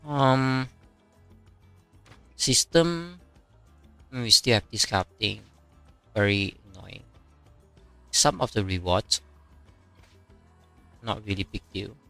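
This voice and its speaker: Chinese-native man, bright, dry close mic. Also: lowish voice, gravelly, no room sound, faint music behind. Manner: halting, many fillers, reads chat aloud. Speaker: game streamer. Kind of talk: casual live-stream commentary.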